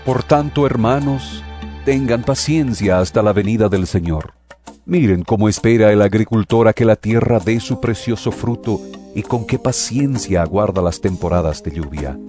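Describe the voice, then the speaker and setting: A man playing a stern, fervent apostle in an audio Bible drama, reading scripture in a solemn, emphatic and deliberate way. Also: on the low side, dark tone, natural voice. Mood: earnest exhortation. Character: stern, fervent male apostle exhorting believers. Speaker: narrator reading a Bible epistle. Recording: studio audio drama with music behind. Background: music.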